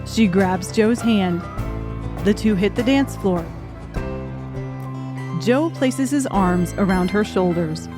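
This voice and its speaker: A woman's voice: medium pitch, takes it slowly, pauses often. Woman narrating over music. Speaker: audiobook narrator. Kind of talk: scripted storytelling narration. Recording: music under the voice. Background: instrumental music.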